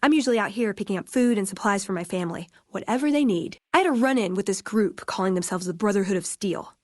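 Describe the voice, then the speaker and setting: A woman plays a young female scavenger scribe from a video game, with a medium-pitched voice in a very dry recording. She is wry and chatty, eager and quick with a joke. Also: expressive, a little mellow, no echo, natural voice. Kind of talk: video game character dialogue.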